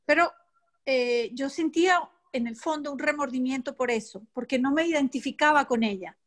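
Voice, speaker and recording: A woman's voice: high voice, normal speed. A woman participant giving a personal account in casual speech, candid and emphatic. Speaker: seminar participant.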